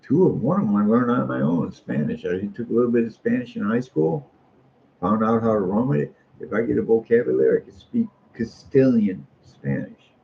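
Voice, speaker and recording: Middle-aged American man, folksy and opinionated casual talker with a gravelly fry, on muffled lo-fi audio. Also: medium pitch, lively intonation, fairly quick. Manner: folksy, opinionated, repeats phrases for emphasis. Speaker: street artist. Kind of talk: casual rambling monologue. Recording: muffled lo-fi audio with faint noise.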